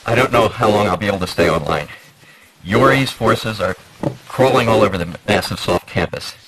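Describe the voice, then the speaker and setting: An actor playing an anxious middle-aged corporate chief under siege in a video game. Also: male voice, lowish voice, moderate pace, far from the mic, over a noisy crowd, lightly acted, rough recording. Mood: anxious, urgent. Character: worried middle-aged male software tycoon under siege. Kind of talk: video game cutscene acting. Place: office, as a video transmission.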